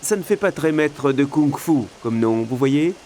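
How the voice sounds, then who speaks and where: A man's voice: medium pitch, animated, a little slow, dark tone, a little hiss or hum, natural voice. A man voicing a young chubby panda hero in a video game dub, eager and goofy, with boyish exclamations. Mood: puzzled, chatty. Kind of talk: dubbed video game acting. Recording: music behind the voice.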